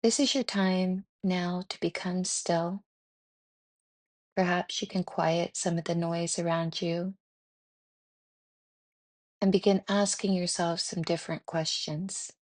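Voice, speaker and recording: A woman leading a guided meditation in a gentle, soothing, unhurried way with pauses. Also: lowish voice, fairly even intonation, no room sound.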